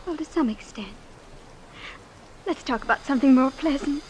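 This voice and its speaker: A faintly noisy vintage radio recording has an actress as a young heiress with a high, girlish voice. She is airy, flighty and spoiled, pouting easily and sounding chillingly blithe and naive. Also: moderate pace, a little mellow, natural voice. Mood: airy, dreamy. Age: young adult. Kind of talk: old-time radio drama acting.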